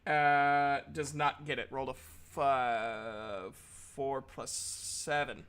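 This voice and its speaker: A man's voice: mid-range voice, fairly even intonation, takes it slowly, a bit bright, clear, resonant tone. A man improvising casual narration as the game master of a tabletop roleplaying game, in an informal way.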